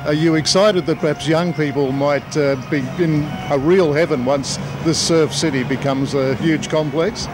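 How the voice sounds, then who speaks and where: A man's voice: fairly high pitch, steady tone, normal speed, hardly any pauses, music in the background. A man with an Australian accent reporting and interviewing on location as a television news reporter. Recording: noisy outdoor location, traffic ambience.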